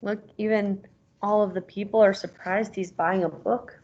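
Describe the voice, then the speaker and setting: A woman's voice: mid-range voice, steady tone, moderate pace, darkish tone. Dull-sounding woman reading a storybook aloud. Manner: encouraging, prompting with questions. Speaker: teacher reading aloud as a parent. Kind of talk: storybook read-aloud with guiding questions.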